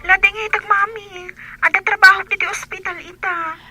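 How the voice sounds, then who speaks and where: A woman's voice: very high-pitched, steady tone, normal speed, music in the background. A woman acting a young adult daughter in an Ilocano radio drama, sad and affectionate, earnest and plaintive, with an Ilocano Filipino accent. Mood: regretful.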